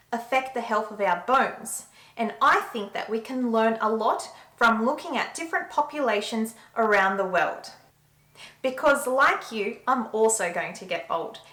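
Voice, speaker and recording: A woman scientist gives an educational science talk, explaining simply and deliberately for a general audience. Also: on the high side, pushed and bright. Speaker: scientist presenting to the public. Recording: roomy, echoing sound.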